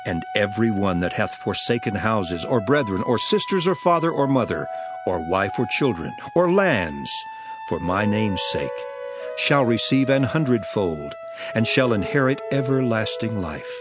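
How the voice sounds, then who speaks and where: Solemn male teacher of parables, low voice with lively melodic swings, grave authority, music behind. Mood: solemn, declamatory. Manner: solemn, declamatory, measured. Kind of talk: dramatized scripture reading.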